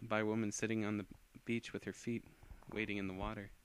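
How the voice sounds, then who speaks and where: A man's voice: on the low side, flat delivery, fast, slight background noise, low-quality recording. A man narrating fan fiction as an amateur reader, often stumbling and rereading phrases.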